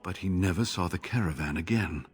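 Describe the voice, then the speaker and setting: A husky, earnest old scholar. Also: male voice, low voice. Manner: measured, formal speech. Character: earnest older male scholar, devout and driven. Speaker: game character. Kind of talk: voiced video game dialogue.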